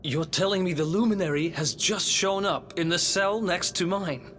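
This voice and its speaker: An actor playing a cheeky, streetwise young prisoner in a video game, with a wry, informal manner. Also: male voice, sits high, moderate pace, a bit bright. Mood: astonished. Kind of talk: video game cutscene acting.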